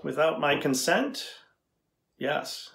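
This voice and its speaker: A middle-aged man playing a thoughtful AI researcher in a dramatized interview, deliberate and conversational as he probes. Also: fairly high pitch, big pitch swings, a bit bright, full character voice. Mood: explanatory, thoughtful. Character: thoughtful male AI researcher questioning a machine. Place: indoor room with backdrop, seated.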